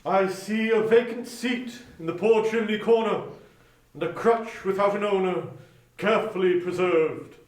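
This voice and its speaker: A man's voice: high voice, measured pace. A man playing a solemn, stern male Christmas spirit in a dramatic reading, speaking in weighty, declamatory pronouncements, recorded with some distance. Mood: grave foreboding. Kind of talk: dramatic reading of a Victorian ghost story. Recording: roomy echo, distant from microphone.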